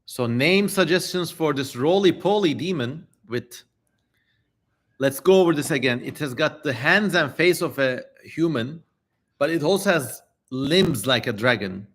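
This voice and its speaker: A man's voice: a little high, lively intonation, unhurried, a bit bright. A man hosting a livestream, chatting casually and informally with some swearing as he comments. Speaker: livestream host.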